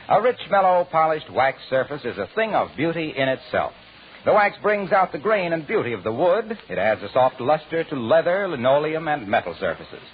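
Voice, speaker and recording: An American man announcing in scripted 1940s radio style, with a polished, emphatic salesman's delivery. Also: fairly high pitch. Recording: narrow-band old radio recording.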